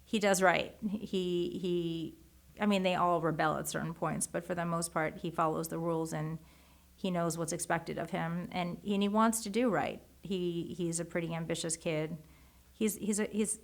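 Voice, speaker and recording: A woman with a low, gravelly voice and Japanese as her first language, chatting as a guest on an interview show. She talks in an animated way, stumbling and restarting her sentences as she thinks aloud. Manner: animated, many restarts and self-corrections. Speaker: guest, author. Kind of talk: casual interview conversation.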